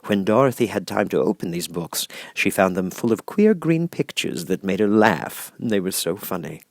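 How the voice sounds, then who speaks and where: Low-voiced man narrating a storybook. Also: lively intonation, normal speed, gravelly, no room sound, studio quality. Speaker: audiobook narrator.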